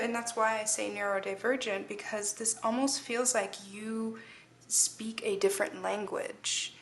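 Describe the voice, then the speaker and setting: Rambling woman reading tarot cards. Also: medium pitch. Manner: rambling, restarts phrases often. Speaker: tarot reader. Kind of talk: casual tarot reading to camera.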